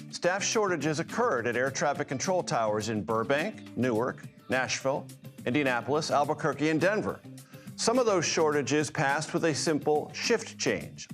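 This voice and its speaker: A man anchoring a news program, reading a script in a formal, deliberate, emphatic way. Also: fairly high pitch.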